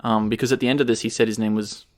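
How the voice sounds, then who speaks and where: A man's voice: fairly low pitch, flat delivery, rapid-fire. A man co-hosting a casual film podcast, laying out background facts about a movie with plenty of ums and uhs. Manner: informative, shares background facts with fillers. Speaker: podcast co-host.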